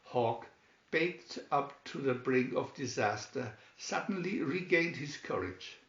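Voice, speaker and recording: A man reading a storybook aloud in a deliberate, dramatic narrating style. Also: mid-range voice, expressive, a bit bright. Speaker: storybook reader. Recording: dull, echoey room recording.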